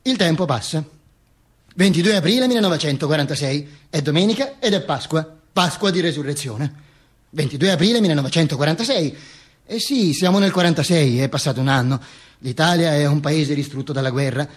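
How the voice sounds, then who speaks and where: Dead dictator, very high voice, husky, vain, declamatory, insistent, theatrical radio monologue. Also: male voice, lively intonation, brisk. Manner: emphatic, declamatory, with insistent repetitions and interjections. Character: dead Mussolini, vain, ranting, self-mocking dictator narrating his afterlife. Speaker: stage actor performing own monologue.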